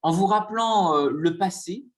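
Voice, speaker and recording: A man giving a conference lecture with slides, speaking hesitantly with frequent filler pauses. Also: high voice.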